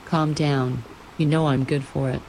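A woman's voice: low-pitched, fairly even intonation, a little slow, darkish tone, a little hiss or hum, rough recording. A woman voicing a bossy, arrogant red-headed female ship captain who speaks curtly. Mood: commanding. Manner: commanding, curt.

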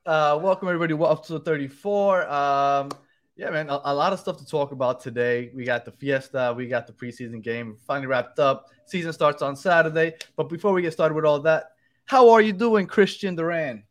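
A man's voice: on the high side, brisk. A man hosting a casual soccer fan podcast, chatty and joking. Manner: chatty, joking, loose restarts. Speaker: podcast host.

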